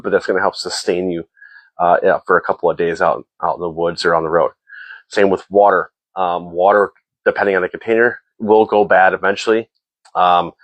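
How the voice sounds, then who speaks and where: A man's voice: low-pitched, dry recording, poor audio. A man hosting a casual gear talk, sounding stuffy and a bit nasal, rambling with repeated words and frequent ums. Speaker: host.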